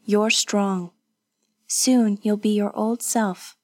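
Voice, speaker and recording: A woman voicing a calm, tender and resolute young noblewoman in an audiobook, speaking gently and firmly. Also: medium pitch, slow, no echo. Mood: gentle, firm. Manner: gentle, soothing, firm. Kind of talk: audiobook character voice.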